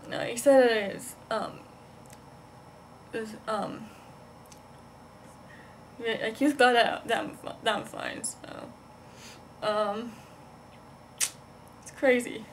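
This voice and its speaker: A young woman with a fairly high voice talks casually over some background noise, like an amateur vlog. She rambles and stops and starts, padding nearly every phrase with "like". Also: fairly even intonation. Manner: halting, heavy use of "like", rambling. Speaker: vlogger. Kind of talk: casual rambling chat to camera.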